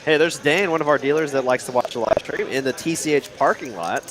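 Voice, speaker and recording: A man's voice: a little high, fast, a crowd in the background, low-quality recording. A young man hosting a live poker stream, upbeat, thanking viewers and reading the chat aloud. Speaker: livestream host and poker commentator.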